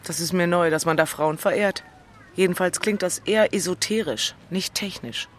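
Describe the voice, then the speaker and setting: A woman's voice: on the low side, normal speed, natural voice. An actress playing Senta, an impulsive, bookish single city woman, teasing and blunt, in a literary audio drama. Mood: sceptical.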